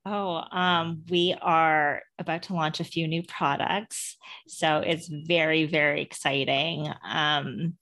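Low-voiced gravelly woman entrepreneur. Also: steady tone, takes it slowly, a bit bright. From Canada and Hong Kong. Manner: chatty, frequent ums and laughs. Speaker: entrepreneur guest. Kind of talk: casual interview storytelling.

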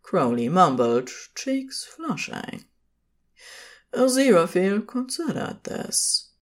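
Casting: female narrator for fiction, slow delivery with lively, varied intonation. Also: fairly low pitch.

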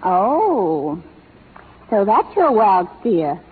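On a narrow vintage radio recording, a young New England schoolteacher. She is prim and teasing, with precise, arch delivery and animated pitch. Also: drawn-out words, slightly rough, exaggerated voice. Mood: mocking. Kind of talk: 1930s radio drama acting. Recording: narrow 1930s radio broadcast, faint music.

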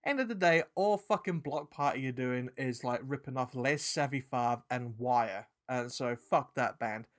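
A man with a British accent mixed with New Zealand and South African traces, co-hosting a podcast in animated, sweary casual chat. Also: medium pitch, normal speed, hardly any pauses. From England. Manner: animated, swearing, trailing restarts. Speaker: podcast co-host.

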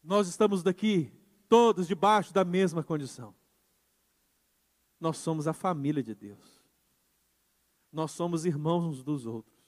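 A man pastor preaching a sermon with emphasis, moving between quiet passages and loud insistent ones. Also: a little high, unhurried, long pauses. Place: church. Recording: church hall with long reverb.

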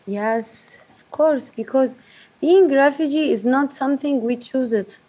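A woman from Yemen speaks English in a remote interview. Her voice sits fairly high, and she pauses and restarts as she searches for words. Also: mellow. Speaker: guest, refugee advocate.

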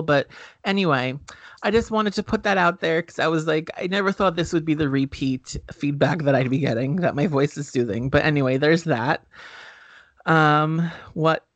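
A man co-hosting a casual podcast, talking in an expressive, animated way and laughing often. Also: a little high, no room sound. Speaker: podcast co-host. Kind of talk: casual chat. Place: indoors.

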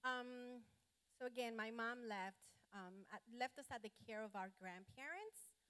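A woman from Colombia, a church guest, giving her personal testimony hesitantly with many pauses. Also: fairly high pitch, a bit bright, clear, resonant tone, no room sound. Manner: hesitant, many ums and restarts.

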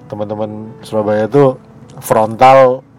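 A man from West Java co-hosting a casual podcast, easygoing and quick to chime in with agreeing murmurs. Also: fairly low pitch, steady tone, darkish tone, soft background music. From West Java, Indonesia. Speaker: podcast co-host. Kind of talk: casual chat.

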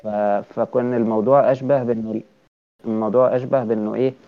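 Hesitant Egyptian man, quick and muffled. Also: mid-range voice, little pitch movement. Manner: hesitant, searches for words, poses questions. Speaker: designer in a group chat. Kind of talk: casual discussion.

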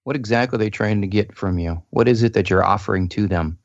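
A man's voice: on the low side, quick pace, creaky, no echo, dull audio. A man, a podcast guest, talking earnestly and explaining his points with plenty of "you know"s. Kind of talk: casual podcast chat.

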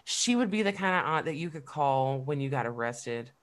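A woman's voice: very deep voice, lively intonation, moderate pace. Profane, laughing podcast co-host woman. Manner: profane, emphatic, laughs often. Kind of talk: casual chat.

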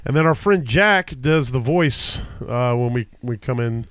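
A man's voice: medium pitch, expressive, a little slow, fairly bright tone, smooth, dry recording. A man with a slightly stuffy nose from a cold, hosting a casual podcast from a home basement, rambling with many fillers and restarts. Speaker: podcast host. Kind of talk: casual chat. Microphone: podcast microphone.

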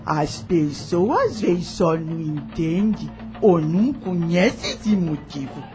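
An actress playing a wise, devout old enslaved nanny in a radio drama, gentle and motherly, with a husky voice and rustic, unschooled speech. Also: on the low side, animated, slow, over music. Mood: reassuring. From taken by force from her homeland. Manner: rustic uneducated grammar, patient moral teaching.

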